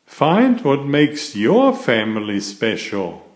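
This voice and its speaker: Slow-paced man reading a children's storybook. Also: medium pitch, huge pitch swings. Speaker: storyteller and channel host. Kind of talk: read-aloud children's storybook narration. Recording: roomy, echoing room sound.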